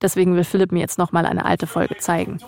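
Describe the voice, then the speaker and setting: Female podcast host, scripted narrative delivery, lowish pitch with vocal fry. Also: monotone, fast talker, darkish tone.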